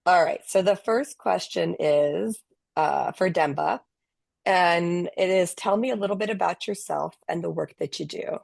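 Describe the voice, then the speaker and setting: Low, gravelly-voiced woman hosting. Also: measured pace, dry recording. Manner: enthusiastic, warm introductions with fillers. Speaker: host. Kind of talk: casual online panel hosting. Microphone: video call.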